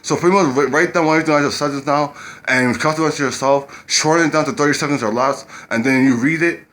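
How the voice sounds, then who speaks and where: A man's voice: fairly high pitch, quick pace, fairly bright tone. A man giving dating advice to camera in a rambling casual way, often repeating himself and using blunt street slang.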